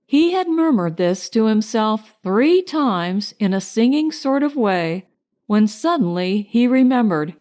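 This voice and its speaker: A woman narrating a children's storybook in a measured, expressive storytelling manner. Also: on the high side. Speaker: audiobook narrator.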